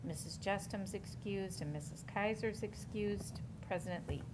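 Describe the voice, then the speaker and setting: A woman's voice: medium pitch, moderate pace. A woman calling the roll at a board meeting in a plain, matter-of-fact way. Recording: noisy room audio.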